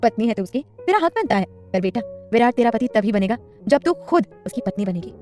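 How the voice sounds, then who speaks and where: A woman plays an affectionate middle-aged mother-in-law in a family romance story. She advises tenderly and with emphasis, speaking briskly over faint music. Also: on the high side, very animated, a little mellow. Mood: gentle advice.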